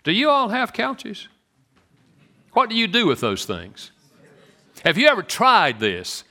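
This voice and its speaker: A man with a Southern US accent giving an animated, joking church talk on marriage to an audience. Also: measured pace. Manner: animated and joking, swoops in pitch. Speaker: speaker on marriage.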